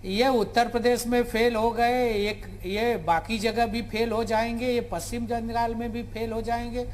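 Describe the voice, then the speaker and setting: A man's voice: very high voice, little pitch movement, a little slow. A man speaking as a party spokesperson in a TV debate, hammering his point through repetition, with faint noise behind. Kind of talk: political debate rebuttal. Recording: faint background noise and ambience.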